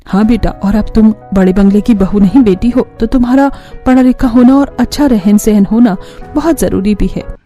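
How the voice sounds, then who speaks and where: Woman as middle-aged mother-in-law, caring, protective, high but mellow, cartoon, faint music. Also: moderate pace, lightly acted. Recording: music bed under the voice.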